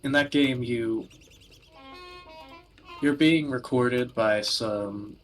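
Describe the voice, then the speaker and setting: A man hosting a casual discussion, speaking haltingly with frequent pauses as he searches for words. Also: mid-range voice, soft background music. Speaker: podcast host. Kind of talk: casual discussion of video games.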